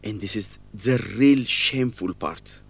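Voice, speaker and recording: An actor playing a guilt-ridden young man in an educational radio drama, speaking earnestly and haltingly with emotion. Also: on the low side, slightly rough. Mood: anguished, regretful. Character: guilt-ridden young man, former orphan, confessing a shameful secret.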